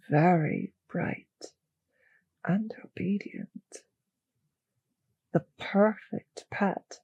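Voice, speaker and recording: An actress playing a possessive, condescending vampire mistress in an intimate roleplay audio drama, speaking in a breathy, husky, patronizing, savouring way. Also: female voice, fairly low pitch, mellow, dry recording, highs cut off, exaggerated voice. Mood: possessive, doting.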